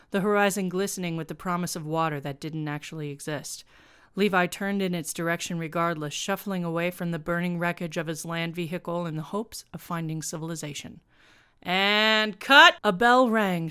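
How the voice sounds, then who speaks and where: A woman's voice: on the low side, a bit bright, clear, resonant tone. A woman presenting and reading her own comic prose aloud with a snarky, sardonic manner. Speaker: fan fiction writer and show presenter.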